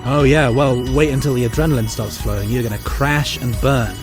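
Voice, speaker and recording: A man playing an eccentric, flippant alien time-traveller in an audio drama, explaining things in an animated manner. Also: medium pitch, fairly quick, over music, natural voice. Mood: explanatory, animated. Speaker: lead actor.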